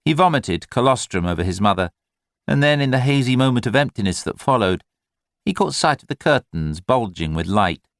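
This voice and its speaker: A man reading literary fiction as an audiobook narrator, in an expressive, measured storytelling manner. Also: medium pitch, no echo, studio quality.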